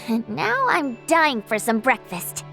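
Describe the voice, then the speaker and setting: Huntress girl for video game: youthful, high, slow-paced, sincere and tender-hearted. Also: big pitch swings, creaky, pushed and bright, faint music behind, heavily acted. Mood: cheerful.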